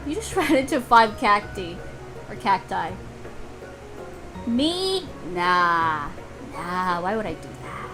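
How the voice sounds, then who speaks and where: A woman's voice: mid-range voice, big pitch swings, slow, long pauses, over music, rough recording. A woman doing casual live gameplay commentary in a playful, exclamatory way, swearing freely. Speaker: game streamer.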